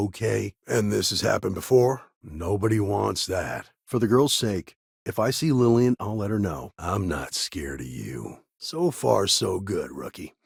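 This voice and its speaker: A man playing a world-weary, dutiful frontier ranger lawman in a sci-fi video game, guarded, stern and plain-spoken, with a husky, gravelly voice. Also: on the low side, no echo, clean studio sound, full character voice. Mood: weary, earnest, then low, guarded. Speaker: video game character actor. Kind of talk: naturalistic video game dialogue acting.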